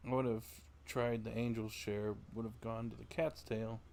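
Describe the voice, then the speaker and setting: Male livestreamer, lowish pitch, casual reaction commentary, faint background noise, game ambience. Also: little pitch movement, normal speed, a little mellow.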